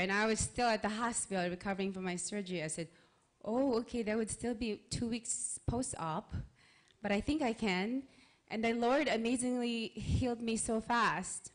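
A woman speaking earnestly into a handheld mic, sharing a personal testimony before a church congregation. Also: medium pitch. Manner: earnest, conversational, with restarts. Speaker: church member giving a testimony. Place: church sanctuary, in front of a congregation.

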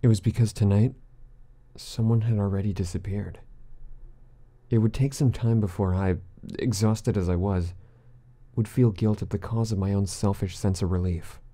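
A man's voice: on the low side, steady tone, normal speed, warm tone. A man playing an earnest, guilt-ridden teenage boy, narrating solemnly and introspectively in a visual novel fan dub. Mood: somber.

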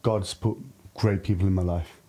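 Mellow, low-pitched man, candid podcast guest. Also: fairly even intonation, normal speed. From Lahore, Pakistan. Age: early thirties. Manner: candid, informal, reflective. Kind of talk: casual personal storytelling. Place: podcast studio. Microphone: studio podcast microphone.

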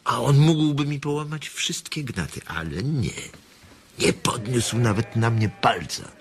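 An actor playing a weary, protective and stern farm labourer in a radio drama, plain-spoken and firm. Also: male voice, medium pitch, animated, measured pace, a little hiss or hum. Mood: weary, reflective.

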